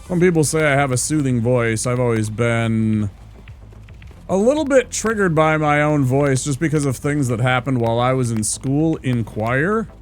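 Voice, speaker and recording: A man's voice: medium pitch, normal speed, soft background music. A man streaming a video game live, chatting casually with his viewers and musing aloud as he plays. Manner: relaxed, chatty, muses aloud to chat. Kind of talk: casual live-stream chat while playing.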